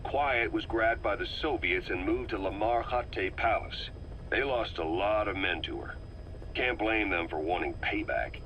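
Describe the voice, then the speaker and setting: Low, shrewd military strategist. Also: male voice, expressive, fairly bright tone. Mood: firm, matter-of-fact. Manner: measured, persuasive, cool reasoning. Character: shrewd, composed male military intelligence officer. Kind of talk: video game audio drama acting. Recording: noisy radio-like tape recording.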